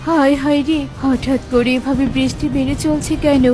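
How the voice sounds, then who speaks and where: Woman as a worried, high-voiced mother bird. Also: fairly even intonation, normal speed, music in the background, close to an everyday voice. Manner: worried, lamenting. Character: anxious mother bird in children's cartoon.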